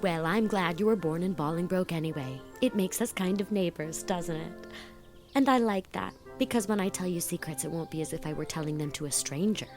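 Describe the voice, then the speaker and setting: Gushing vain young college girl, acted by a woman in an audio drama, chatty and indecisive, a slightly lower voice than most women, soft music faintly underneath. She runs on in eager, emphatic bursts. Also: fairly quick, lightly acted. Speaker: voice actor.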